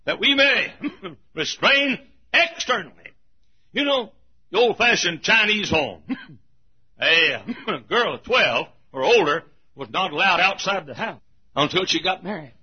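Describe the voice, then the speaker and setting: An older male pastor preaches with fire, his husky voice riding high and swooping widely between quiet asides and shouted points. He chuckles through his lines. The recording is muffled and lo-fi. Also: animated, unhurried, pauses often. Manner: fiery preaching, swings from hushed to shouting. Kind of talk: sermon.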